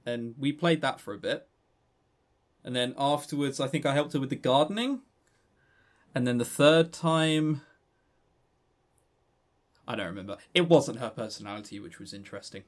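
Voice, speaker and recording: Male podcast co-host, casual unscripted chat, teasing, mid-pitched voice. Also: animated, moderate pace, long pauses. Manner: joking, teasing. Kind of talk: casual unscripted discussion of games.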